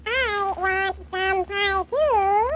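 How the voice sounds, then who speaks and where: An actor voicing a tiny, excitable childlike male elf in a 1940s children's radio show, squeaky and insistent with drawn-out words. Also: full character voice. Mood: pleading. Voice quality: squeaky, cartoonish. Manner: slow, drawn-out, insistent speech. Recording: muffled, noisy 1940s radio transcription.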